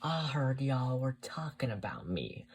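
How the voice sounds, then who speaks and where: A narrator voicing a bold, assured female rescuer with calm urgency in a dramatic story. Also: medium pitch, fairly quick, natural voice. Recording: clearly audible noise and hum.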